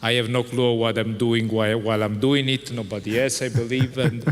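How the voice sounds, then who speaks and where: A man's voice: medium pitch, little pitch movement, fairly quick. A man from Italy introducing himself on a conference panel, hesitant and candidly self-deprecating. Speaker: panelist, software developer. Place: large conference auditorium. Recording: long hall reverb.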